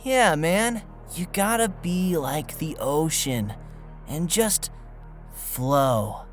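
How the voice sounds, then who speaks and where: A man plays an easygoing, fatalistic young slacker in an audio space comedy. He drawls slowly in a slightly high voice. Faint music runs behind him. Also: animated. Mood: mellow. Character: laid-back, fatalistic young dude stranded in space. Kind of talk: audio comedy drama acting.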